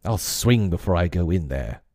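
A man voicing a gruff, stubborn pirate crewman in a storybook, with a dogged growl. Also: low-pitched, very animated, slow pace, mellow, no room sound, clean studio sound, full character voice. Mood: defiant.

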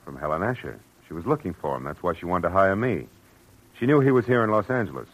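An actor playing a dry, world-weary American private detective in a 1940s noir radio drama, with clipped, wry delivery. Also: male voice, low-pitched, very animated, fast, poor audio. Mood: probing. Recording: old radio recording with background music.

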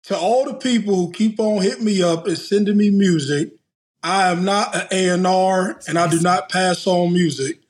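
High-voiced man explaining calmly. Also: moderate pace. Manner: thoughtful, lays out points step by step. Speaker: podcast co-host. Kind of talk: casual chat, measured explanation. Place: podcast studio.

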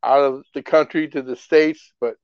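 A middle-aged man from the Philippines, a guest in a casual interview, telling stories with lively excitement. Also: medium pitch, moderate pace, smooth, no echo, lo-fi sound. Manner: animated storyteller, gets excited and laughs while telling stories. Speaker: guest, music artist.

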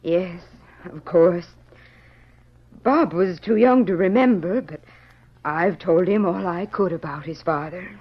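A woman's voice: lowish voice, slow pace, slightly rough. A middle-aged woman playing a kindly, fussing frontier mother in an old radio drama. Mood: wistful. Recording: muffled old radio recording.

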